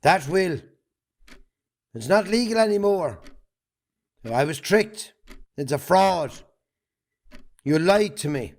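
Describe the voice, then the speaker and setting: An amateur actor playing a spiteful, greedy older brother, blunt and accusing. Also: male voice, sits high, lively intonation, slow pace, pauses often, exaggerated voice. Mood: furious. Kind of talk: amateur stage drama acting.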